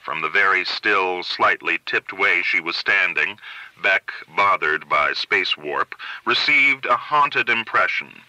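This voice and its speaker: A man narrates literary fiction with measured, articulate delivery. His voice sits fairly low yet has a very sharp, bright edge, and the sound is dry and close. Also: lively intonation, no room sound, low-quality recording. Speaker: audiobook narrator.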